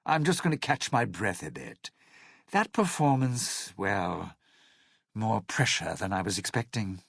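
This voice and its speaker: Game role: smug, vengeful crooner-thief, low husky rasp, widely swinging sardonic delivery. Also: male voice, big pitch swings, measured pace, a bit bright, no room sound, clean studio sound, exaggerated voice. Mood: irritated, brisk. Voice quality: husky, gravelly. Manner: sardonic, theatrical, sneering. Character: bitter, scheming male lounge singer and thief, smug and vengeful. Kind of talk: video game character dialogue.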